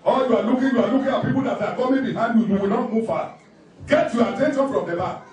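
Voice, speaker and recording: High-voiced preacher man, very echoey. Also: fast talker, darkish tone. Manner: emphatic, repetitive, numbered exhortations with scripture quotes. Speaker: pastor preaching. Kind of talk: sermon. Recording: very echoey room, distant microphone.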